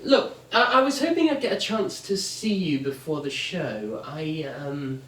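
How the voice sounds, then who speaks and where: A man's voice: fairly high pitch, lively intonation, unhurried, fairly bright tone. Actor as an awkward, hesitant man, swooping intonation. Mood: apologetic. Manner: hesitant, stammering. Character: awkward, polite, apologetic son of an old colleague. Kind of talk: theatrical stage acting. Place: theatre stage. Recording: echoey theatre stage from far off.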